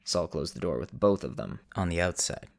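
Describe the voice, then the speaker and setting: A man with a creaky voice, speaking as the storyteller and host of an audio drama show. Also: deep voice, expressive, normal speed. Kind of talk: narration and casual host talk.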